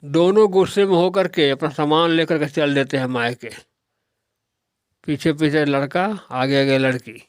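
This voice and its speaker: A male narrator tells a scripted cartoon moral tale. His voice is smooth and slightly high, captured dry and close. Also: steady tone, normal speed, dry recording.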